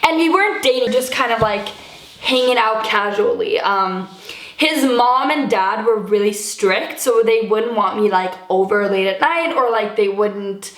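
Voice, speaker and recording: A woman's voice: mid-range voice, a little slow, fairly bright tone, roomy sound, low-quality recording. A young woman from Sweden telling a casual storytime to her viewers, chatty and full of fillers, swearing freely. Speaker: YouTuber.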